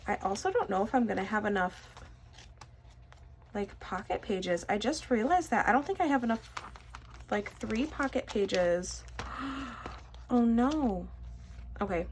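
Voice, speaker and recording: A woman's voice: medium pitch, slight background noise. A young woman from Michigan with a stuffy nose, chatting casually and rambling while she sorts collectible cards for her channel. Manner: rambling, self-deprecating, chatty. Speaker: vlogger.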